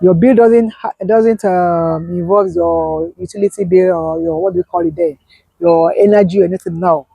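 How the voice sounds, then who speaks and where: A woman's voice: lowish voice, fairly even intonation, moderate pace, gravelly, dark tone, husky, no room sound, rough recording. A woman, a business owner, speaking as an interview guest and emphatically repeating her key points. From Nigeria. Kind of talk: conversational interview.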